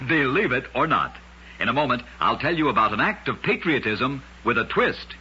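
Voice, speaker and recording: A man's voice: medium pitch, very animated, moderate pace, pushed and bright, slightly rough. A man hosting and narrating scripted old-time radio trivia stories in a dramatic, emphatic manner. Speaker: radio show host and storyteller. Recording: dull, lo-fi old radio recording.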